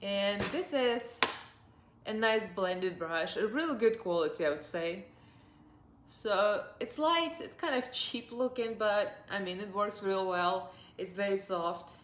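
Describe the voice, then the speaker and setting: A woman's voice: mid-range voice, animated, takes it slowly, fairly bright tone, a little hiss or hum. Chatty Russian-accented woman in an echoey room. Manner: chatty and upbeat, laughs often. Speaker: beauty vlogger. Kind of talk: casual makeup review. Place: living room, seated on a sofa.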